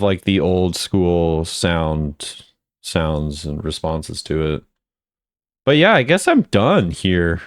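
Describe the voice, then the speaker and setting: A man's voice: lowish voice, no echo, clean studio sound. A man giving casual chatty commentary as a video creator, often repeating phrases for emphasis. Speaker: video creator ranking game voice lines.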